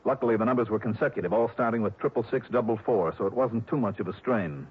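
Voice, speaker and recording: An actor playing a tough, skeptical insurance investigator with a clipped, sardonic delivery in 1960s radio detective style. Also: male voice, on the low side, quick pace, slight background noise, natural voice. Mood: brisk, insistent. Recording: muffled narrow-band vintage radio recording.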